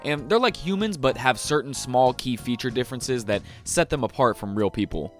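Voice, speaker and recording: A man's voice: medium pitch, animated, normal speed. A young American man reviewing a video game for viewers in a casual, slangy, profane and emphatic way. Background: music under the voice.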